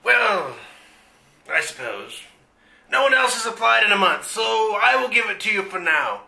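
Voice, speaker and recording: A man's voice: high voice, very animated, normal speed, gravelly, sharp tone, full character voice. A man playing a pompous, gleefully evil, scheming villain in a parody of a western radio serial. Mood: indignant, pompous. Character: vile scheming melodramatic mustache-twirling male western villain. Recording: roomy echo.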